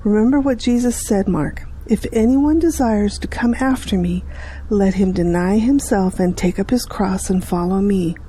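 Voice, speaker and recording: A woman's voice: mid-range voice, normal speed, mellow, slight background noise, lightly acted. An actress playing a devout middle-aged mother, earnest and instructive, in an audio drama. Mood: earnest, tender. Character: devout, gently earnest mother counselling her son.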